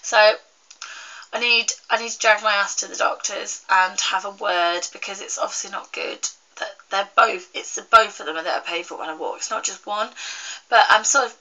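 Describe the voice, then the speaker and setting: Bright-voiced young woman, bunged up. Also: mid-range voice, normal speed, poor audio. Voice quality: slightly croaky. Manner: rambling, many fillers and restarts. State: congested with a cold. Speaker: vlogger. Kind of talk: casual chat to camera.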